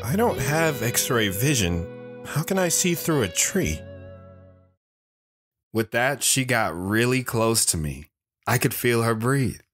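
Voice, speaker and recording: A man's voice: medium pitch, big pitch swings, slow pace, pauses often, fairly bright tone, soft background music, heavily acted. A man playing an earnest, awkward teenage boy who tells his own story in an audio drama. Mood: unsure. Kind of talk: first-person dramatic narration with acted dialogue.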